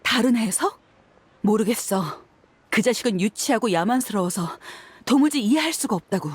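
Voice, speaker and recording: A woman's voice: a little high, animated, moderate pace, breathy edge, no echo. A woman voicing a tough young mercenary in a video game, blunt and firm, proud with a bitter edge. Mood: bitter, angry. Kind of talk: dubbed video game acting.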